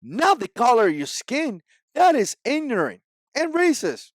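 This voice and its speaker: A man in his forties speaks English with a Cuban Spanish accent, his first language being Spanish. His voice sits high for a man, and he hammers his points home with emphatic repetition. The recording is close and dry, with no room sound. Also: huge pitch swings, measured pace, dry recording. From Cuba. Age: 40s. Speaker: vlogger. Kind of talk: opinion commentary vlog.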